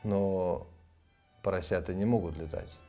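A man voicing a wise, kindly magic spring in a storybook, calm and matter-of-fact. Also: low-pitched, unhurried, soft background music, pitched below the performer's own voice. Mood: objecting.

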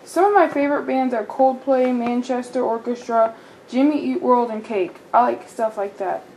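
A woman's voice: on the high side, normal speed, mellow, a little hiss or hum, close to an everyday voice, poor audio. A young woman voicing a brash, blunt party-girl doll in her early twenties.

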